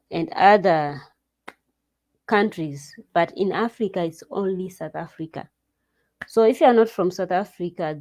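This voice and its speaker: A woman with a fairly low, warm, mellow voice gives practical advice to her viewers. She takes her time, drifting into asides and restarting her sentences as she goes. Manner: unhurried, loose, with restarts and asides. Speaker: vlogger giving job advice. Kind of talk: casual advice talk to camera. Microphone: screen-share recording.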